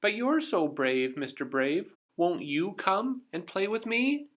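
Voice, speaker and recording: A sweet, lonely little miss from a children's storybook as a man puts her on. Her voice is high and lilting. She pleads slowly and plaintively. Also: sing-song, highs cut off, exaggerated voice. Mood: sobbing, pleading.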